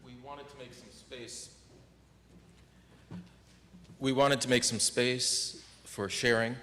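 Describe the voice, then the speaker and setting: A man leading a memorial service as minister, gently inviting people to share, with small restarts. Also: medium pitch, steady tone, a little slow. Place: church sanctuary. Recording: church with long reverb.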